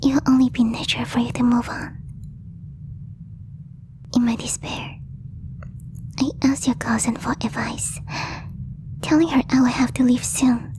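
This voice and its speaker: A woman voicing a possessive, lovestruck alien girl in a breathy whisper, with slow, stiffly formal phrasing for an ASMR roleplay. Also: on the high side, steady tone, dark tone, close to an everyday voice. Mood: longing, possessive. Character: possessive lovestruck alien girl, stilted speech, shyly obsessive.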